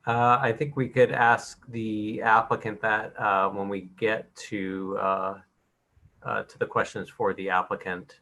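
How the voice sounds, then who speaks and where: A man on city planning staff, low and bright in tone, works through a project report at a public meeting. He talks with frequent uh and um fillers as he gathers his thoughts. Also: little pitch movement, a little slow. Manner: hesitant, many uh and um fillers. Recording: video call audio.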